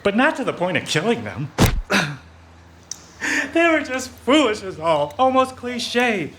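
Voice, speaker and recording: An actor playing a detective's sidekick who turns cold and menacing, in a radio play. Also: male voice, high voice, big pitch swings, a little slow, fairly bright tone, heavily acted. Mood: scornful. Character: Dawson, male detective's sidekick turned sinister.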